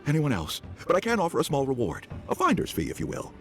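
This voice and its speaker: A man playing a chatty, sentimental travelling merchant in a video game, with an expressive theatrical manner. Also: fairly high pitch, quick pace, soft background music. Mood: grateful.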